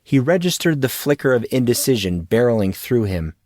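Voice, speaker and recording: A man reading a fantasy audiobook in a measured, dramatic storytelling manner. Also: medium pitch, very animated, darkish tone, no echo, studio quality. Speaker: audiobook narrator. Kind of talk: scripted fantasy story narration.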